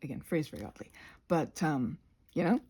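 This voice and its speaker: A woman voicing a chatty, crafty goblin with a gravelly, husky voice, rambling haltingly through an ASMR craft session. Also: deep voice, expressive, dark tone. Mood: chatty, earnest. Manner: rambling, halting, goes off on tangents.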